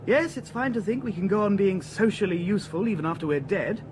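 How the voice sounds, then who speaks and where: A man's voice: high-pitched, fast, background noise, close to an everyday voice. An actor playing a complacent young man in a radio drama, speaking in a self-assured, matter-of-fact way. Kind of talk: BBC radio drama acting.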